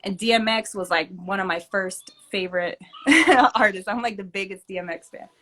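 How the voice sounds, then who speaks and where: A young woman chats easily in an interview, her voice sitting at a middle pitch. She leans on fillers and laughs often as she talks, relaxed and open. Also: normal speed. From born in Germany to Turkish parents, raised in the US. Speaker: rapper and entrepreneur, guest. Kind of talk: casual interview chat.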